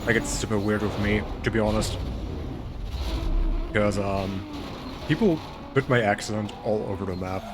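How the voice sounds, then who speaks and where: A man's voice: lowish voice, moderate pace, long pauses. A man from Germany with a light German accent, casually chatting with viewers while streaming a racing game. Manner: relaxed, chatty, greets and thanks viewers. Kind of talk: casual gaming commentary to chat. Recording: game car noise loud behind voice. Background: racing game engine and traffic sounds.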